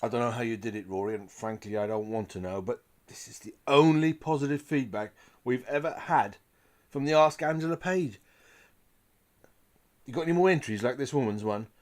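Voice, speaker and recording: A man playing a gruff, excitable magazine boss in an audio drama, emphatic and blunt. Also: medium pitch, normal speed, close to an everyday voice. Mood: excited.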